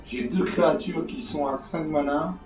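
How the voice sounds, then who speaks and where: A hoarse man gives casual commentary on a card game, circling back on his words and filling pauses as he goes. He sits some way from the mic in an echoey room, and the sound is dull and lo-fi. Faint music plays behind him. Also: on the high side, steady tone, distant mic. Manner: rambling, repeats himself, many fillers. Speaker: gaming channel host.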